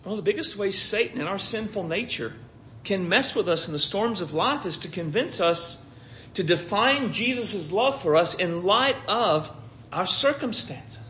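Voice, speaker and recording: High-pitched American man preaching a sermon. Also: animated, normal speed, sharp tone, muffled recording, a little hiss or hum. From United States. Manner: repeats phrases for emphasis, conversational.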